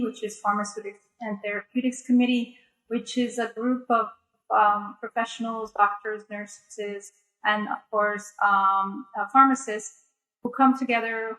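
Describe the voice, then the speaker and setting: A woman from Egypt who was raised in the US and speaks, answering interview questions on a video call in a measured way with many fillers. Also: medium pitch, bright, forceful tone, rough recording. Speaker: guest speaker.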